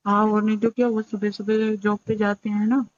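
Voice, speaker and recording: Woman on a private phone call, even flat intonation, muffled lo-fi recording. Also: medium pitch, a little fast, no echo. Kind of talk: casual phone conversation.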